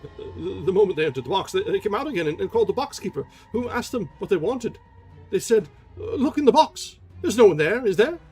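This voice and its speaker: A man's voice: high voice, sing-song, fairly quick, darkish tone, slightly rough, soft background music, pitched above the performer's own voice, exaggerated voice. A man doing a stammering, flustered inspector. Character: nervous stammering male theatre inspector.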